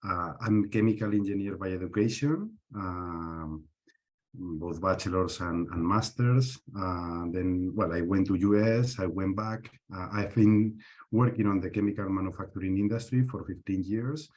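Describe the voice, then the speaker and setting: A man from Spain introduces himself as a student, pausing on fillers between phrases. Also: low voice, darkish tone. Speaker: student, chemical engineer.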